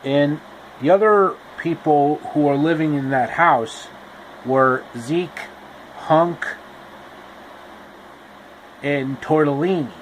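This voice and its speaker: A man retelling a classic fairy tale in a casual storybook style, with slow, repetitive explanatory asides. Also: fairly high pitch, a little hiss or hum, poor audio.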